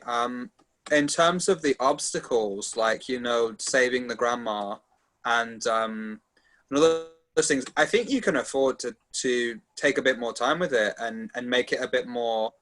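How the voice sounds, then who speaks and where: A man's voice: mid-range voice, measured pace, fairly bright tone. A man taking part in a casual feedback discussion, offering notes in a tentative, polite way. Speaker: table read participant giving notes.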